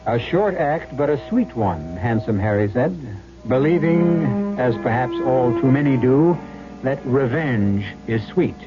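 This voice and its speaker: A man hosting a radio mystery, narrating in a measured, ornate, ominous style on a muffled old recording. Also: medium pitch, lively intonation. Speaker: host and narrator. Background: music.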